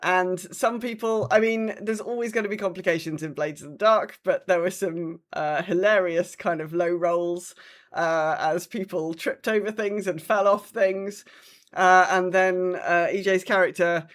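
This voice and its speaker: A woman's voice: fairly low pitch, steady tone, unhurried. A woman hosting a podcast, chatting casually about her week of gaming and acting out reactions as she retells things. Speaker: podcast host.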